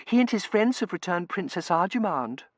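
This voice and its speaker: A man's voice: sits high, expressive, normal speed, dry recording, pitched above the performer's own voice. A man voicing a spoiled, gushing young Victorian woman in an audiobook, dramatic and babyishly cooing. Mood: coaxing, cooing. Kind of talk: audiobook character voice.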